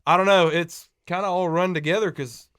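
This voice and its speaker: A man's voice: high-pitched, steady tone, fairly quick, a bit bright, dry recording, studio quality. A young adult man hosting a podcast, talking brashly with jokes and swearing. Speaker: podcast host. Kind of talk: casual podcast chat. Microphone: studio microphone.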